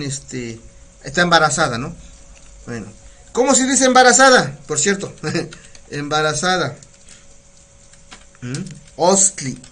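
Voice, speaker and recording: A Mexican man with a Mexican Spanish accent hosting a casual live language lesson, chatty and laughing often, repeating words slowly for pronunciation. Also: sits high, sharp tone. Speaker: community radio host teaching Nahuatl.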